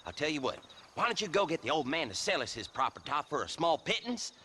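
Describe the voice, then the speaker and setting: A cunning frontier land dealer from a western game. His voice is forceful and swings widely in pitch. He wheedles in a self-satisfied folksy drawl. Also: sing-song, quick pace, slight background noise. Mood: sly, amused. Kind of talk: dramatic video game acting.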